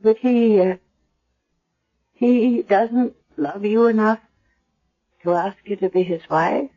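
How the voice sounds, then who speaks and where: An actress playing a frail, tender, dying blind grandmother in a radio drama, speaking gently and haltingly. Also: medium pitch. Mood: gently probing. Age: older. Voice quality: husky, frail. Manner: slow, gentle, halting delivery. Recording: dull old 1970s radio broadcast.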